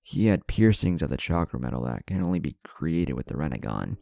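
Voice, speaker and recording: A man's voice: rumbling low, sing-song, a little fast, vocal fry, mellow, full character voice. A man voicing a cautious, powerful young male ninja who explains things in a matter-of-fact way. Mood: calm.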